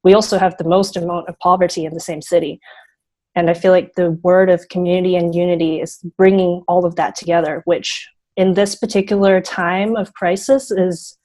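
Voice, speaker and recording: A woman's voice: fairly low pitch, little pitch movement, moderate pace, dark tone. A teenage girl from Hong Kong answering interview questions earnestly, with frequent "you know" fillers. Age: teens. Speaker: youth fund founder, guest.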